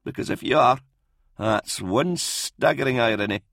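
A man's voice: medium pitch, moderate pace, vocal fry, a bit bright, dry recording, clean studio sound, pitched above the performer's own voice. Man voicing a frail, stubborn old military doctor. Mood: solemn, resolute. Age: older. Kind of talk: audiobook character voice in military science fiction.

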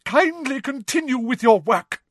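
Man as melodramatic storybook woman teacher, shrill, tremulous, drawn-out theatrical delivery, offended and self-pitying, close dry sound. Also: fairly bright tone, no room sound. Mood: outraged. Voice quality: high-pitched, wavering, tremulous. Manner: melodramatic, drawn-out, choked with wounded dignity. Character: hysterical, theatrical middle-aged woman seer and teacher. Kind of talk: audiobook character voice.

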